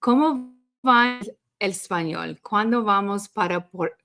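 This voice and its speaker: Woman, co-host, casual live chat, easygoing, teasing, laughs often, mid pitch. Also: unhurried, sharp tone. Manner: easygoing, laughs and teases her partner. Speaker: podcast co-host.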